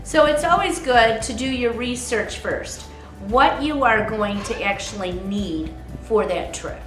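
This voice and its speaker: A woman with a fairly high voice walks listeners through practical travel advice in a relaxed, explanatory way, now and then tossing a question to her co-host. She sounds like she is in a roomy, echoing space with faint music underneath. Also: a little slow. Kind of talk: casual advice chat.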